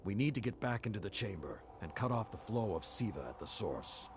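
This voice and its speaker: An actor playing a grave, commanding older warrior lord in a video game, speaking in a solemn, deliberate way. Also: male voice, lowish voice, expressive, noisy background, lightly acted.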